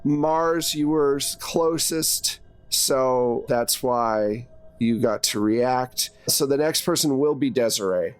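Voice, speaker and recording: A man's voice: medium pitch, takes it slowly, clear, resonant tone. A man speaking as game master, narrating action to players in a conversational way with casual asides.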